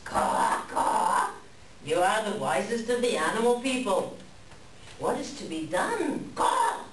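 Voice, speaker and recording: An excitable talking raven, played by a woman, speaks slowly in wide swoops of pitch and breaks into loud caws. It is a live reader's theater performance, heard from a distance in a roomy space. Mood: concerned, solemn. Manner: punctuates speech with loud croaking caws. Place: live staged reading in a library room. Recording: roomy, distant live recording, faint noise.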